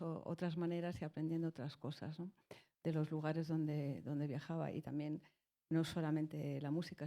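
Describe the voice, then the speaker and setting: A woman from Spain, speaking as a musician on a public panel, thoughtful and halting with many restarts. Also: lowish voice, dark tone. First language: Spanish.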